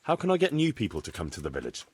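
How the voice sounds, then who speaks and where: Video game role: eager, courteous young bailiff, conversational, inquisitive, with very varied intonation. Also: male voice, lowish voice, wildly swooping pitch, very fast, a little mellow, husky, no room sound, full character voice. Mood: curious, practical. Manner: polite, questioning, conversational. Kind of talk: video game cutscene dialogue.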